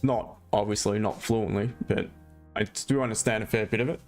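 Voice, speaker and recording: A man's voice: lowish voice, fairly even intonation, fairly quick, darkish tone, soft background music. A man hosting a live trading stream, chatting casually and answering viewer questions as he explains his trades.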